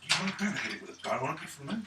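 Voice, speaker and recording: A man's voice: a little high, big pitch swings, racing. A man bantering casually as a player at a tabletop role-playing game. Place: around a gaming table in a room. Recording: very noisy, echoey room, distant mic.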